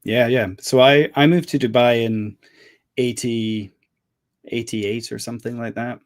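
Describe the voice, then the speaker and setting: A man's voice: medium pitch, fairly even intonation, a little slow, no room sound. A man in his forties who grew up in the Middle East, answering questions with easygoing dry humor. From Middle East, Scottish parents. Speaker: guest. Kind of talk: casual interview chat.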